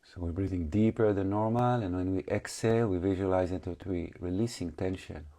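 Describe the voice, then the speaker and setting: A man leading a guided meditation as a teacher, calmly instructing in short phrases with long pauses and slightly non-native English phrasing. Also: low-pitched.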